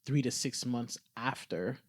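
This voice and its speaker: A man's voice: medium pitch, a little slow, husky, no echo. A man co-hosting a podcast, talking in a reflective, conversational way, earnestly explaining and thinking aloud. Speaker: podcast co-host.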